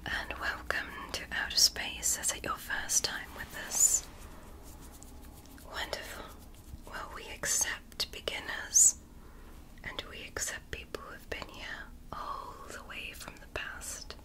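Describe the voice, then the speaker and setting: A woman doing a whispered ASMR roleplay as a gentle alien medical examiner, speaking in a soothing, deliberate and reassuring manner. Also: rough recording. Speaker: ASMR performer.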